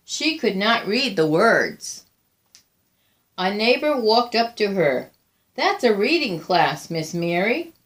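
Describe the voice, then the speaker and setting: A woman reading a picture book aloud as a storyteller, deliberate and expressive, with frequent pauses. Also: mid-range voice. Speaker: volunteer storybook reader.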